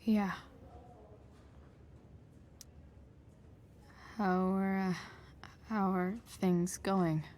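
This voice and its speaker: A woman playing a guarded, shaken teenage girl in a video game, halting and subdued. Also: mid-range voice, little pitch movement, a little mellow. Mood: awkward, polite. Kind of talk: naturalistic video game acting.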